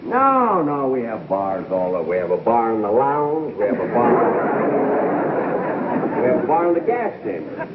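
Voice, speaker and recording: A man's voice: medium pitch, normal speed, close to an everyday voice. A man playing a wisecracking, grumbling convict in a 1950s comedy sketch. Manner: wisecracking, animated. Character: wisecracking, grumbling male convict at a cushy prison. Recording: echoey, muffled, very noisy 1950s broadcast.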